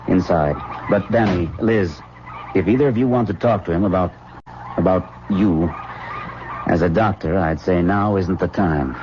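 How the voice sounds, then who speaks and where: An actor playing a calm, cautious family doctor in an old radio drama, on a dull, noisy recording. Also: male voice, sits low, lightly acted. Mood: grave, cautious. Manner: soothing, measured.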